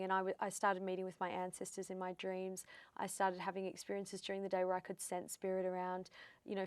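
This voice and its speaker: Australian woman with a gravelly edge. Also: mid-range voice, no echo. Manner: chatty storyteller, acts out quotes. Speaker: psychic medium guest. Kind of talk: casual interview chat.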